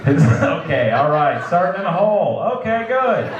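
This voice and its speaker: A stand-up comedian in his thirties, self-deprecating and loose, laughing along at his own material. His voice sits a bit high and swings widely in pitch. He is heard through an echoey club room over a loud, laughing crowd. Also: big pitch swings, moderate pace, background noise. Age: 30s. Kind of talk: stand-up set.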